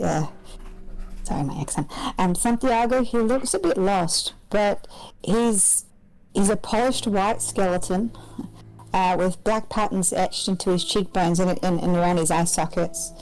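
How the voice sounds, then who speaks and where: A woman player in a tabletop game, describing her character in a hesitant, halting way with many restarts. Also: mid-range voice, a little mellow, low-quality recording.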